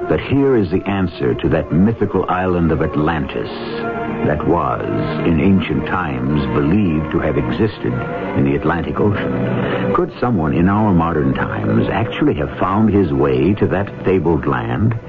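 A man's voice: extremely low pitch, animated, unhurried. A man hosting an old-time radio mystery drama, narrating in a grave, theatrical, portentous manner. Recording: muffled old radio recording, music behind.

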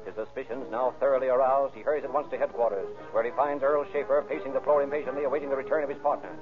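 Music plays behind a narrow, phone-like vintage radio recording of a male narrator. He reads crime drama briskly, in a formal, dramatic manner. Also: medium pitch, fairly even intonation. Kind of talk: scripted old-time radio crime narration.

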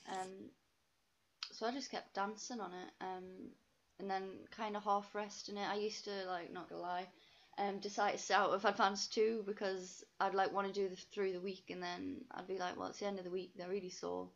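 Young geordie-ish posh woman, bit of vocal fry, chatting openly n informally, slightly muffled recording. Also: medium pitch, level tone, moderate pace. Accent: soft Geordie mixed with posh English. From North East England. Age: young adult. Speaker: podcast guest, ballet dancer. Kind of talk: casual chat.

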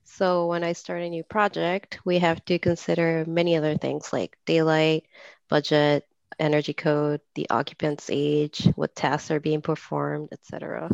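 Woman from Peru with a gravelly voice. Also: low-pitched, level tone, moderate pace, a little mellow, dry recording, muffled recording. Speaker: lighting designer guest. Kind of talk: podcast interview.

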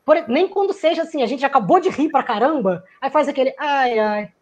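High-voiced woman musing on laughter. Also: expressive, fairly quick. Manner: musing, searching for words, acts out quoted lines. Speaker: theater performer giving a talk. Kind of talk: reflective talk on laughter.

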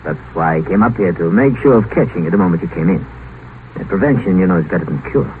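An actor playing a smooth, scheming doctor and seducer, sardonic and teasing, in a 1950s radio play. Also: male voice, low voice, animated, fast. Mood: soothing. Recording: tinny, noisy old radio broadcast.

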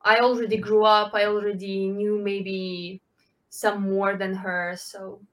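A woman, answering interview questions in halting, full of fillers. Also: medium pitch, level tone, a bit bright. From Ukraine. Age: 20s. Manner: halting, with frequent fillers and restarts, non-native phrasing. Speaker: guest, Olympic swimmer.

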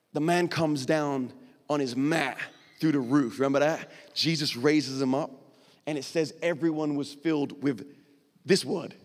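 Guy preaching on a church stage, pretty high voice, mic'd up, big reverb in the room. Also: darkish tone. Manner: conversational, explanatory, with dramatic pauses and acted quotes. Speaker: pastor. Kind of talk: sermon. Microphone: stage microphone. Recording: long hall reverb.

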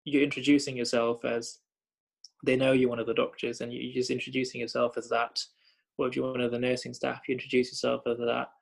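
A man's voice: mid-range voice, completely flat delivery, fast talker, gravelly. A young man from Thailand working as a hospital doctor, talking thoughtfully with many fillers and repeated starts. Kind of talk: reflective conversation.

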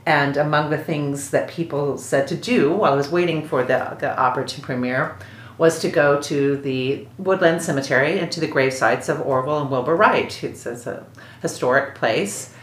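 An American woman tells a personal story for an interview in a very low-pitched voice. The room around her adds a clear echo. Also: normal speed. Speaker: opera librettist, interview guest. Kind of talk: anecdotal interview recollection. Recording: roomy, echoing interview sound.

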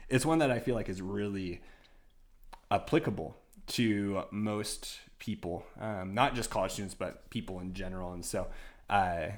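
Male podcast host, American, lower register, casual conversational delivery. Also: normal speed.

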